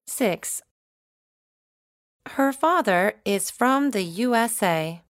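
Slow, carefully enunciating woman reader. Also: fairly high pitch, lively intonation, dry recording, clean studio sound. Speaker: recorded listening test reader. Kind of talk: scripted listening exercise.

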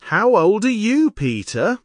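An actor voicing a friendly young schoolboy in a scripted textbook dialogue, speaking slowly and clearly. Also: medium pitch, sing-song, no echo. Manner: slow, clear, carefully spoken.

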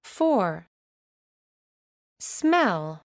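A woman reads out numbered test items at a very slow, deliberate pace, each word spelled out clearly. The recording is dry and close, with no room sound at all. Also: mid-range voice, very animated, no room sound. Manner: slow, carefully enunciated reading. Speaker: recorded listening test reader. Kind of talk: scripted listening exercise.

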